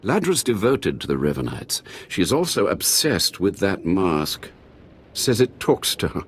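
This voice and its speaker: A scholarly male curator of a secret order in a video game. His lowish voice moves widely in pitch, measured yet conspiratorial, shrewd and devout, with faint noise behind it. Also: sing-song. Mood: welcoming, dismissive. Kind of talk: video game cutscene acting.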